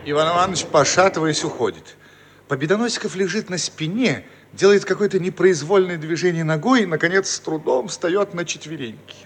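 A man's voice: high voice, fairly quick, slight background noise. A man narrating a radio play, reading scripted stage directions. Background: music.

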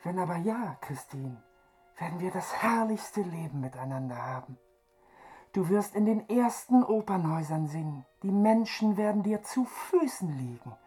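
Deranged phantom, swooping theatrical high voice. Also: male voice, expressive, moderate pace, dark tone, soft background music. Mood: wooing, grandiose. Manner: theatrical, wildly swinging from whispers to high calls. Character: deranged masked phantom, theatrical, menacing, lovesick male ventriloquist. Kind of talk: radio drama acting.

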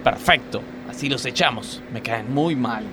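An actor playing a resentful, sarcastic factory worker with an Argentine accent in a radio comedy sketch. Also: male voice, medium pitch, a little slow, noisy background, close to an everyday voice. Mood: disgruntled. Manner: muttering sarcasm, grumbling.

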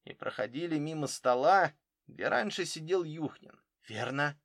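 A man's voice: on the high side, expressive, a little slow, a bit bright, dry recording, studio quality, full character voice. A man voicing a careful, literal German footballer in his thirties, giving witness answers in an audiobook. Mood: careful, cooperative. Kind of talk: audiobook character dialogue.

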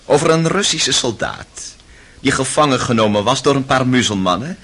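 Husky, intense grown son. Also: male voice, mid-range voice, animated, moderate pace, fairly bright tone. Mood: recounting. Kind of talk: radio drama acting.

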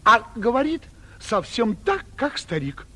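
An actor playing an excitable, timid provincial gossip with a husky high voice, deferential and rambling, in 1940s theatrical comedy style. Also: male voice, unhurried, full character voice. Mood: excited, gossipy. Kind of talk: 1940s theatrical stage comedy acting for radio.

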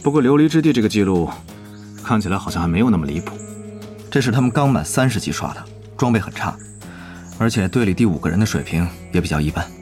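An actor playing a serious, exasperated young online guild leader in an audio drama. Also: male voice, on the low side, expressive, quick pace, a little mellow, faint music behind, natural voice. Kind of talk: Chinese audio drama acting.

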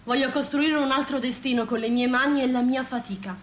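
A woman's voice: high voice, steady tone, brisk, a little hiss or hum, lightly acted. A woman acting a determined, defiant worker in poetic choral stage declamation. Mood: determined, solemn. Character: determined, unfettered worker building a new destiny.

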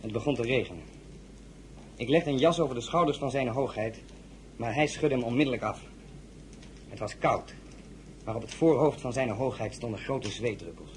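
An actor playing a proud, loyal count serving as a royal military aide, formal and earnest, in an audio drama. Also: male voice, mid-range voice, normal speed, pauses often, slight background noise, close to an everyday voice, rough recording. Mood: solemn, reporting. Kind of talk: Dutch audio drama acting.

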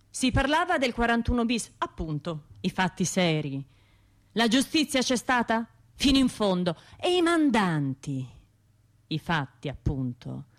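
An actress voicing a blunt, reproving man from an audience in a radio drama, measured, pointed and condescending. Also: mid-range voice.

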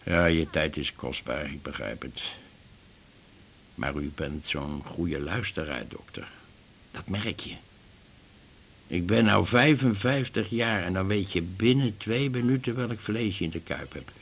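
A man's voice: low voice, expressive, a little slow, vocal fry, fairly bright tone, slight background noise, exaggerated voice. An actor playing an aggrieved, garrulous retired glue salesman in his fifties in a radio drama, rambling and grumbling. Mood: confiding. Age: 50s. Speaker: patient in a doctor's office. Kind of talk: naturalistic radio drama acting.

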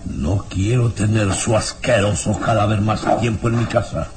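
A man's voice: fairly low pitch, moderate pace, heavy background noise, close to an everyday voice. An actor playing a haughty, villainous old nobleman in a radio drama, with an imperious, disdainful and theatrical delivery. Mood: cold disdain.

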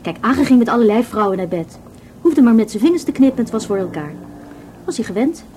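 An actress playing a frank, self-assured young student in a radio play, informal and unembarrassed. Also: female voice, on the high side, expressive, fast talker, mellow, slight background noise, close to an everyday voice. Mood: frank, matter-of-fact. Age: young adult.

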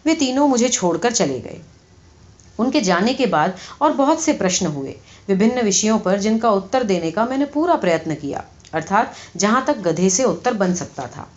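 A woman narrates a satirical short story as an audiobook reader. Her voice sits at an average pitch for a woman. The recording is a little dull and lo-fi. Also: animated.

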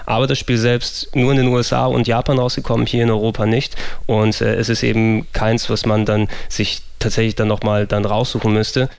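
A man's voice: lowish voice, little pitch movement, fairly quick, creaky, hardly any pauses. A German man chatting casually as a podcast host, rambling with many fillers and restarts. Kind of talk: casual gaming podcast chat.

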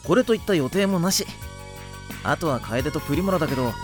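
A woman's voice: sits low, expressive, a little fast. A woman voicing an easygoing, casual teenage boy in an anime audio drama, laid-back and mildly exasperated. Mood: resigned. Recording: faint music behind the voice.

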